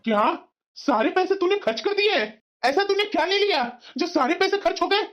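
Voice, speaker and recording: A woman's voice: very high voice, expressive, moderate pace, soft background music. A woman voicing an exasperated, aggrieved young husband in a family cartoon, scolding, in a dull lo-fi recording. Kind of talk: cartoon voice-over in family comedy drama.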